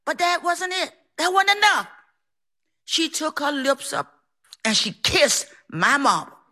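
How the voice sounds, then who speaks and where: A woman performs a personal story in a high, bright voice. Her delivery is folksy and emphatic, with a theatrical feel. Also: big pitch swings, a little slow. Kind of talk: live personal storytelling on stage.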